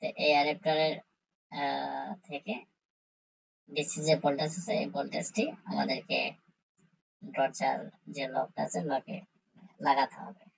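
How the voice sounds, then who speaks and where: Low, husky woman explaining step by step. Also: level tone, poor audio. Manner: halting, repetitive step-by-step explaining. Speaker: tutorial host. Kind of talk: informal technical tutorial.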